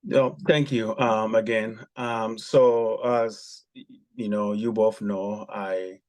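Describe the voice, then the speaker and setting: A man with a somewhat low voice tells his story as a podcast guest. He speaks haltingly, filling pauses with frequent ums. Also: steady tone, low-quality recording. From Ghana. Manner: hesitant, many ums and pauses. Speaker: guest, former javelin athlete.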